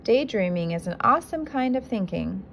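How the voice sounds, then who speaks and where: A woman reading the part of a kindly, encouraging male schoolteacher in a storybook read-aloud, clearly enunciated. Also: medium pitch, lively intonation, normal speed, fairly bright tone. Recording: noticeable background noise, amateur phone recording.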